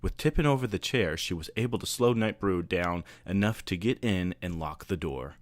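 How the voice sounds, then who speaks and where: A man's voice: sits low, expressive, normal speed, dry recording, studio quality. A man reading scripted story narration as an amateur fan-fiction narrator.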